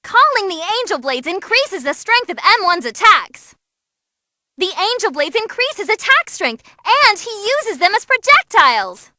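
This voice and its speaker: A woman voicing an excitable young female demon who commentates on tournament fights in a bubbly, theatrical way for a game. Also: very high-pitched, no room sound, clean studio sound, exaggerated voice. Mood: brisk, emphatic.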